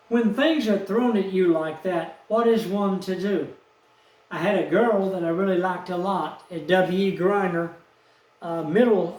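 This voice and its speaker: A man, telling a rambling personal story in a slow, aggrieved, folksy way. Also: high voice, distant mic. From Texas, USA. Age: 70s. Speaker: vlogger. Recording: echoey room, off-mic.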